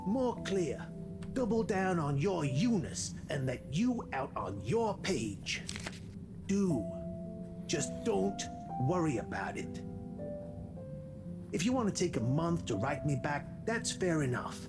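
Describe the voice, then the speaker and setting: A gentle, slow-talking robot buddy. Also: male voice, on the high side, full character voice. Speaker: game character reading a letter. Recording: game audio with loud music.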